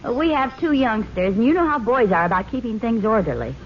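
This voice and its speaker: A woman's voice: fairly high pitch, big pitch swings, brisk. An actress playing a gracious, easygoing housewife and mother in an old radio sitcom, with a genteel, amiable manner. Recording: dull, noisy old radio recording.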